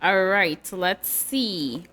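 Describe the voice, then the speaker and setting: A woman's voice: on the low side, animated, extremely slow, fairly bright tone. A woman hosting a live talk show, reading out viewer comments and reacting to them in a chatty way.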